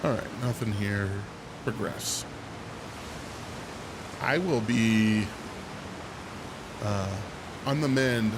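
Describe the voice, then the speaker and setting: A man's voice: fairly low pitch, drawn-out words, long pauses. A man getting over a cold, sniffling as he plays a game and talks to himself, yelping at scares. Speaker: gameplay streamer. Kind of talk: casual let's-play commentary. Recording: heavy hiss over the voice.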